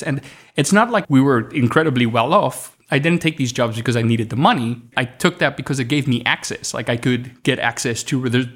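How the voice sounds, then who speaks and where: A man in his thirties from South Africa, Afrikaans his first language, recounts his past as a podcast guest. His pitch sits mid-range for a man. He speaks in a relaxed, reflective way, often pausing and restarting his sentences. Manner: reflective, with frequent restarts and fillers. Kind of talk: conversational interview storytelling.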